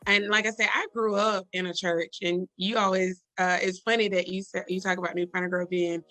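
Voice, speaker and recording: A woman's voice: medium pitch, level tone, a little fast, fairly bright tone, no room sound, low-quality recording. A woman minister who is a guest on a podcast, talking casually, often affirming what the host says and laughing easily. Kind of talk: casual chat.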